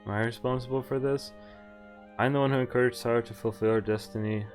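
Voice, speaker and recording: A man's voice: mid-range voice, fairly even intonation, quick pace. A man streaming a game, reading visual novel text aloud and commenting in a casual, sweary way. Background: faint game music.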